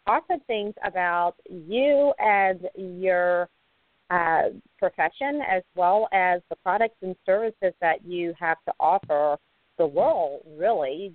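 A woman's voice: lowish voice, no room sound. Upbeat woman host on a phone line. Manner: upbeat, chatty, many fillers. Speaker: talk show host. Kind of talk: casual online radio interview. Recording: dry tinny phone line.

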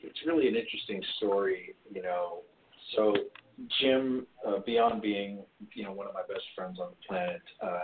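A very deep-voiced man, a guest on a live show, telling his story in a relaxed, chatty way. The sound is narrow and phone-like with a faint hiss. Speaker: guest, speaker and former musician. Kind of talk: casual interview talk. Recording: tinny call line with faint hiss.

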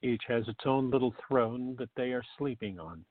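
A man with a gravelly, creaky voice reading scripted narration for a comic radio play, on a tinny, narrow recording. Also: medium pitch, normal speed, no echo. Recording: tinny, narrow phone-like sound.

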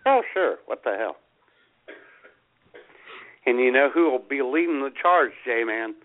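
A man's voice: medium pitch, lively intonation, measured pace. A man co-hosting a radio show, making wry political quips in casual banter. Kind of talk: political comedy chat. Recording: phone-like lo-fi sound.

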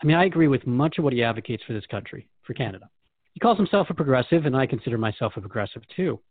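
A man's voice: mid-range voice, lively intonation, rapid-fire, no echo. A man hosting a radio show in an earnest, inquisitive, conversational manner, recorded dry and somewhat muffled.

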